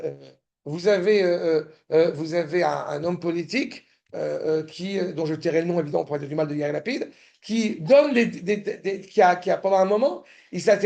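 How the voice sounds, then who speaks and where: A man teaching a Torah study class, halting and repeating words, often checking that listeners follow, sometimes insistent. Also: high-pitched, gravelly, a little mellow. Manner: turns insistent and indignant.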